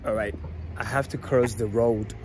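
A man's voice: mid-range voice, fairly even intonation, moderate pace. A young man talking casually to viewers while walking a town street, filming himself on a phone at arm's length and often asking them to subscribe. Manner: chatty, repeats shout-outs and asks viewers to subscribe. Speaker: travel vlogger. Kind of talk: casual walking-tour vlog. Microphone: phone camera held at arm's length. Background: traffic and street noise.

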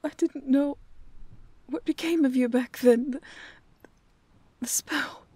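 Casting: actress playing young fantasy heroine, high voice, very slow breathy pleading, devoted and emotional. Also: female voice, dark tone, no echo. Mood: grieving, tender. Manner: breathy, halting, pleading delivery. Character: young woman pleading tearfully for her beloved beast. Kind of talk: emotional fantasy audio roleplay.

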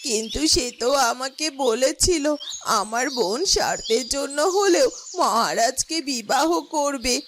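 A woman's voice: high voice, animated, a little slow, background noise. A woman voicing a troubled, caring palace garden-keeper who muses to herself. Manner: musing, self-questioning.

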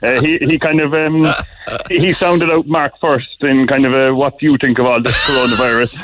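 A man's voice: medium pitch, steady tone, moderate pace, fairly bright tone, slight background noise, rough recording. A man telling anecdotes casually and enthusiastically as a radio caller. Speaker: phone-in caller.

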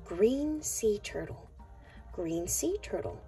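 A woman reading a picture book aloud to young children in a deliberate, sing-song way. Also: medium pitch, big pitch swings, dark tone, faint music behind. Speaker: children's read-aloud narrator.

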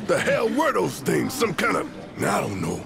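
An actor with an American accent playing a gruff, hot-tempered resistance fighter who barks loudly in a video game. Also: male voice, high-pitched, huge pitch swings, a little slow, a crowd in the background, heavily acted. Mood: angry, shouting. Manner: loud, gruff, swearing shouts. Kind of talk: video game cutscene voice acting. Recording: loud game music behind.

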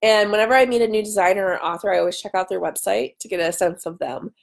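A woman's voice: medium pitch, steady tone, quick pace, creaky. A woman in her thirties chatting casually as she hosts a live question session, wandering off on tangents, recorded at home on a webcam. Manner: chatty, self-interrupting tangents. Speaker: author hosting a live chat. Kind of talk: casual chat, answering viewer questions. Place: home dining room. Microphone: webcam at arm's length.

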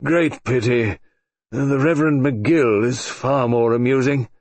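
A sleepy, gloomy old colonel with a wheezing, drawn-out way of speaking, as a man puts him on. He sounds heavy and unhurried, in a dry studio read for an audiobook. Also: medium pitch, darkish tone, no room sound, pitched above the performer's own voice, natural voice. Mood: drawling. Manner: slow, drawling, wheezy. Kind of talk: audiobook character voice.

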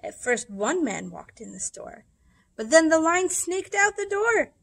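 A woman in her thirties reading rhyming picture books aloud to children, with dramatic, deliberate storybook phrasing. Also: extremely high pitch, big pitch swings, vocal fry, fairly bright tone. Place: indoors in a library room.

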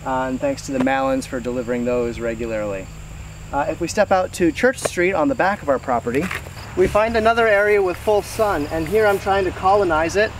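A man in his thirties guides an outdoor tour in a casual, explanatory way, with pauses as he thinks. His voice sits a little high. He is some way from the camera's mic, with clear outdoor noise and insects around him. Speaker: host giving a garden tour. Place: outdoors in a wooded backyard garden. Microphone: camera mic several meters away. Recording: distant camera mic, noisy outdoor ambience.

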